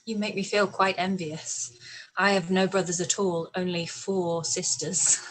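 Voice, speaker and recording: A woman playing a gracious young gentlewoman in a period radio play, composed and politely witty. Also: lowish voice, little pitch movement, measured pace, natural voice, low-quality recording. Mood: lightly teasing. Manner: polite, composed, lightly teasing. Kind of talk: live-read period radio play acting.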